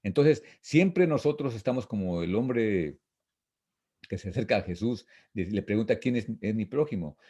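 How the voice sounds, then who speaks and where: Guy giving a bible class, lowish relaxed voice, halting and repetitive, explains verses as he reads. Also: slightly rough. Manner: didactic, repetitive, halting with frequent false starts. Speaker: Bible teacher. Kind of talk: informal Bible lesson, reading and explaining verses.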